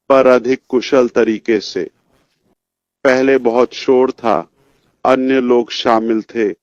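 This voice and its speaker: Male executive, fifties, medium pitch, slow informal motivational address to members, dry close sound. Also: dry recording. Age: 50s. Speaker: company chief executive giving an update. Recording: dull lo-fi audio, dry.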